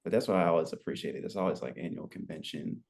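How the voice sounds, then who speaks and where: A man in his twenties telling his story as a podcast guest, relaxed and rambling, with lots of fillers. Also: sits low, quick pace, vocal fry, husky. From United States. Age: 20s. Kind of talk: casual interview chat.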